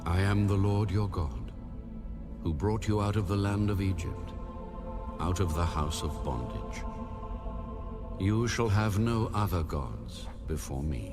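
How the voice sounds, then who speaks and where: Loud music surrounds a low-pitched man reading scripture. He speaks very slowly and solemnly, with measured authority, like the narrator of a dramatized audio Bible. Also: expressive.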